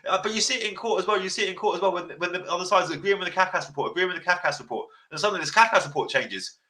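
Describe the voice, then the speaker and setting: Blunt, fast-talking man with a deep voice. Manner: blunt, swears freely, repeats himself; passionate, sounds like shouting. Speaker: podcast host. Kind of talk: casual advice chat.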